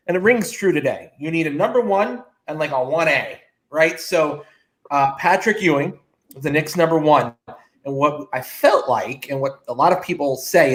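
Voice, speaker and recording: Male sports podcast interviewer, somewhat higher register, casual conversational delivery with frequent check-ins. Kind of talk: casual sports chat, posing questions.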